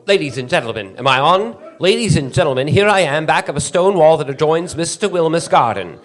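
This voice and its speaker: An actor playing a frantic radio field reporter in a radio drama, breathless and urgent as an eyewitness. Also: male voice, a little high, lively intonation, brisk, faint music behind, natural voice. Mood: tense, urgent. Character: frantic male radio field reporter, awestruck then terrified.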